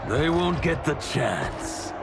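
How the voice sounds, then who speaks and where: A man playing a menacing, deliberate fighter in a dramatic video game cutscene. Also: a little high, very animated, loud music behind the voice, heavily acted.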